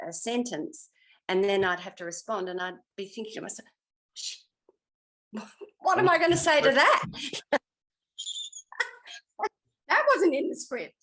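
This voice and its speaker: A woman's voice: high-pitched, fairly bright tone. An older woman with an Australian accent reminiscing in a casual interview, hesitant as she searches her memory. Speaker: interviewed former actor.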